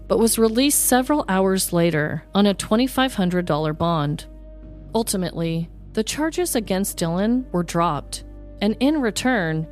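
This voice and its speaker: A woman's voice: mid-range voice, darkish tone. A woman podcast host reading a scripted, comedic true-crime story as narrator.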